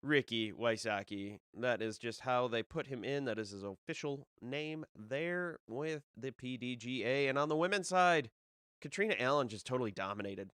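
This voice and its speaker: A young man talks on his own as the host of a casual show, rambling and restarting his sentences. He sounds a bit nasal from a cold. His voice is smooth and resonant, with a dry, close sound and no room echo. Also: mid-range voice, lively intonation, moderate pace, a bit bright. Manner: rambling, with many fillers and restarts. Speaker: disc golf podcast host. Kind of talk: casual solo live-stream talk.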